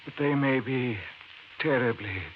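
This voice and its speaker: An actor playing a frail, dying old professor in an old-time radio drama, speaking weakly, haltingly and with urgency. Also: male voice, medium pitch, natural voice. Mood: earnest, reassuring. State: weak, failing. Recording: muffled, hissy old radio recording.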